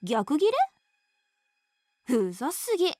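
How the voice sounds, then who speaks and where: High-voiced, sharp-tongued teenage girl. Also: very animated, moderate pace, no echo, clean studio sound. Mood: scornful. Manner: scolding, cutting delivery. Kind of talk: Japanese visual novel voice acting.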